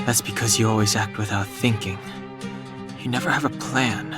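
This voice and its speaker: A man voicing a calm, guarded young traveler in a video game, measured, sober and understated. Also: fairly low pitch, music in the background, close to an everyday voice. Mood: dry, annoyed. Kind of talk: restrained video game voice acting.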